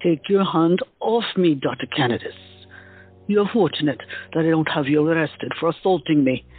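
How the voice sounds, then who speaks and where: Imperious, threatening lady, a bit high for a man, lo-fi man plays it. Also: moderate pace, slightly rough. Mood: accusing. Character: haughty, demanding young woman. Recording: lo-fi, phone-like, with music.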